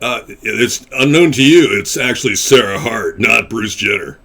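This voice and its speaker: A man's voice: fairly low pitch, lively intonation, normal speed, vocal fry, pushed and bright. A man hosting a casual call-in web show, rambling and crude, calling people buddy. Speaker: host and presidential candidate.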